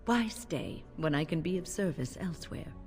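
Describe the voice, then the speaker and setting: A wise, composed fantasy game mage, low in pitch and quietly determined. Her formal, polite words ring in a long reverb over faint music. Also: animated, normal speed. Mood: calm, determined. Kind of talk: video game dialogue.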